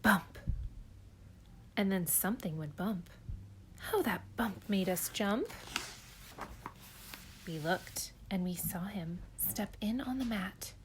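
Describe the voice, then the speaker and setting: A woman preschool teacher reading a picture book aloud at home in an expressive, sing-song storytelling way. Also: mid-range voice, takes it slowly, pauses often, noisy background.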